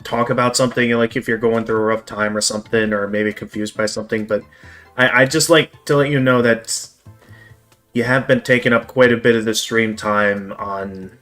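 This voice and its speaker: A man hosting a game livestream, talking in a measured way with many "like"s. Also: on the low side, fairly even intonation, fairly bright tone, faint music behind. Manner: measured, lots of "like" and "again". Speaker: streamer host. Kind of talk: casual livestream chat.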